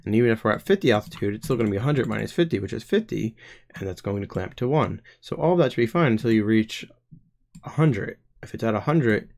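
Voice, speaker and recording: A man in his twenties, live streaming as a game developer and thinking aloud while he codes, hesitant, with many pauses and restarts. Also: on the low side, expressive, gravelly, darkish tone. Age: 20s.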